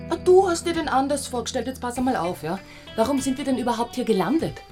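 An exasperated girlfriend in a radio play. Her Austrian voice sits a little high as she scolds with heavy sarcasm and emphasis. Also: lively intonation, fast talker, darkish tone, soft background music. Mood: angry, hurt.

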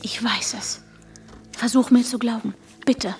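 An actress playing a distraught, insistent young woman searching for her missing fiancé in an intimate radio drama, often hushed and halting. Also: on the high side, fairly even intonation. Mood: quiet, desperate. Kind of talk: radio drama, intimate first-person narration and dialogue. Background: music.

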